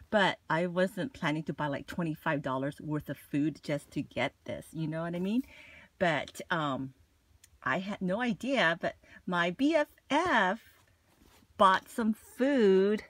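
A woman's voice: fairly low pitch, dry recording. A woman vlogging casually about her shopping haul, chatty and gushing, with plenty of "like" and "you know". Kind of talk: casual haul vlog chat.

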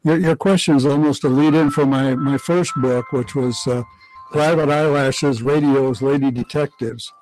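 A man's voice: mid-range voice, fairly even intonation, normal speed, darkish tone, faint music behind, rough recording. An older man, a radio historian, explaining things as a studio guest. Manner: explanatory, lecturing. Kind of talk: interview guest. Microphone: studio microphone.

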